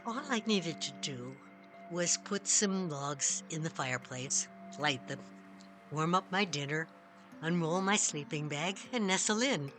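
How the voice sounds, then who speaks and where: A woman reading a first-person story as scripted narration, measured, with dramatic pauses. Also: deep voice, animated, a bit bright. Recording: music behind the voice. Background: music.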